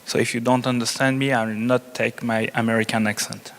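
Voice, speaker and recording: A man with a strong French accent speaks at a medium pitch in a big reverberant hall. He gives an unscripted technical presentation to a conference, explaining as he goes. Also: flat delivery, brisk, a bit bright. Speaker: conference presenter, network engineer.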